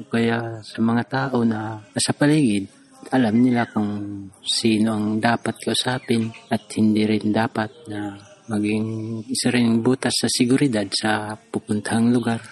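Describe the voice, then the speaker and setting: Flat-toned man. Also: mid-range voice, a little slow, low-quality recording. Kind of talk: spoken testimonial.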